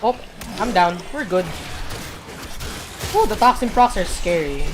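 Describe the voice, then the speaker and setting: A woman streamer giving rambling gameplay commentary, full of fillers and pauses to search for words. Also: medium pitch, expressive, noisy background.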